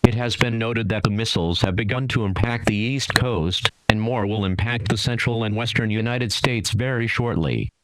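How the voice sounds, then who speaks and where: A man's voice: on the low side, normal speed, fairly bright tone, dry recording, poor audio. A man reading a scripted emergency bulletin in a formal, impersonal way. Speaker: emergency alert announcer.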